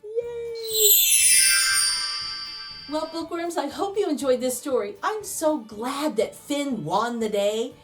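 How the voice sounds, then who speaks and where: A woman's voice: high voice, expressive, takes it slowly, pauses often, music in the background. A woman storytime host narrating a picture book expressively, with chatty asides to young listeners. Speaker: storytime host reading aloud to children.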